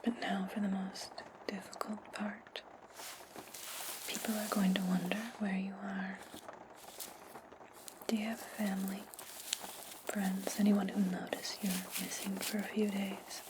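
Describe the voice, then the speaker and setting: A woman playing a menacing, controlling witch in a whispered ASMR roleplay, commanding her servant in breathy, deliberate tones. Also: medium pitch, flat delivery, noisy background, natural voice. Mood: calm, calculating.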